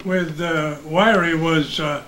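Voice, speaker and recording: An older man reminiscing casually as a former radio broadcaster, an animated storyteller who acts out the exchanges he remembers. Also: a little high, slow pace, crowd noise. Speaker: retired radio broadcaster recalling his career.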